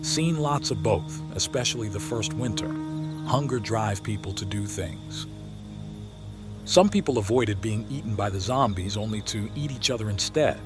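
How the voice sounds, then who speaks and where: A man's voice: on the low side, lively intonation, moderate pace, over music, lightly acted. A man playing a matter-of-fact, weary leader of apocalypse survivors in an audio comic. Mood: grim, matter-of-fact. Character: grim, plain-spoken survivor leader of a town. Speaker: voice actor. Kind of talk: audio comic dub, dramatic dialogue.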